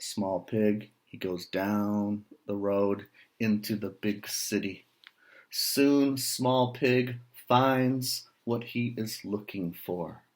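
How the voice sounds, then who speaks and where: An American man reading a picture book aloud to children, deliberate, with chatty asides and rhetorical questions. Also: lowish voice.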